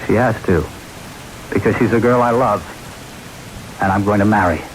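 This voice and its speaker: Male actor as insistent young American climber, old radio melodrama, dramatic halting speech, heavy background noise. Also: lowish voice, natural voice. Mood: brooding, remorseful. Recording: old radio broadcast, loud rain-like noise.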